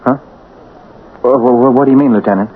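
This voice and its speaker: An actor playing a nervous, chatty witness who talks haltingly in a radio drama. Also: male voice, mid-range voice, lightly acted. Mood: flustered. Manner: halting, stammering, excitable. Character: nervous, chatty, hesitant male witness at a police inquiry. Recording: muffled old radio recording, faint hiss.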